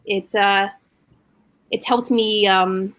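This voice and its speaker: A woman's voice: mid-range voice, steady tone, drawn-out words, fairly bright tone. A woman cast member on a video call, talking hesitantly with little laughs and fillers. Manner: hesitant, giggly, many fillers. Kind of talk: casual video call interview.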